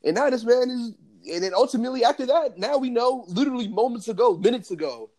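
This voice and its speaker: A man co-hosting a sports podcast, talking excitedly in casual slang. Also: very high voice, fast talker. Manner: excited, animated, lots of slang. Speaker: podcast co-host.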